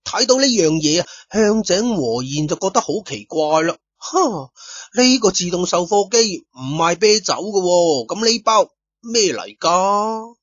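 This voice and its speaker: A man's voice: high voice, animated, unhurried, a bit bright, no echo. A man narrating a story as a storyteller, chatty with casual asides to listeners. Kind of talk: storytelling narration of a radio drama. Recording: dull lo-fi recording.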